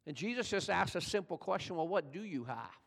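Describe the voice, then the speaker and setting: A man's voice: lively intonation, a little fast, creaky, a bit bright. Older man preaching in a reverberant hall. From California. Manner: conversational preaching, builds in pitch and volume as he tells the story. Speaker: pastor. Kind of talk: sermon.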